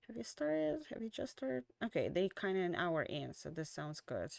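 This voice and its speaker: A young woman streamer chatting casually at the end of a stream, rambling with lots of fillers and restarts, tired and slowly losing her voice. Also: on the low side, big pitch swings, vocal fry, a little mellow, dry recording, studio quality.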